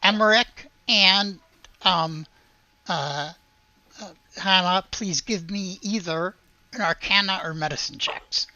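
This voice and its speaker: A woman running a tabletop game as game master, narrating casually and haltingly, sometimes muttering to herself. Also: mid-range voice, pushed and bright, no room sound. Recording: dull, slightly lo-fi audio.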